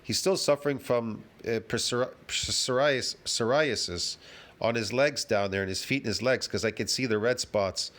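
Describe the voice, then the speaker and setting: A man's voice: medium pitch, normal speed, fairly bright tone. Man ranting through rhetorical questions. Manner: repetitive, emphatic, with frequent restarts and rhetorical questions. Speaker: fitness commentator. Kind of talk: ranting reaction commentary.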